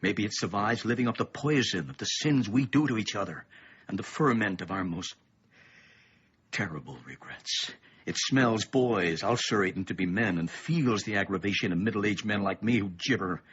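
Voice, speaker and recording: An actor playing a weary middle-aged, bookish father in a radio play, grave and thoughtful, with a husky voice and a measured, ominous way of explaining. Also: low voice, fairly bright tone. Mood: brooding. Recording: dull, muffled lo-fi radio play.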